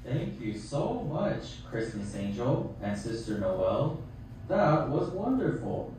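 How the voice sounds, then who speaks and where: A kind, fatherly Jesus puppet played by a man speaks in a children's show with gentle encouragement and lively rises and falls. The voice comes from far off in a very echoey room. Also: mid-range voice, slow. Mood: grateful, warm. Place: theatre, behind puppet stage curtain. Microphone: far camera. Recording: very echoey hall from far off, noisy.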